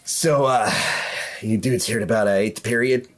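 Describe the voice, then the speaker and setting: A woman's voice: rumbling low, unhurried, voice pushed down. A woman voicing a slangy, swaggering teenage schoolboy in a tabletop game, with slouchy, hesitant dude-speak. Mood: lazy, grumbling.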